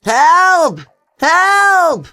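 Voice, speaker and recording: Man putting on a high, greedy trickster spider. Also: sing-song, extremely slow, bright, forceful tone, no echo, soft background music, full character voice. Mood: desperate, yelling.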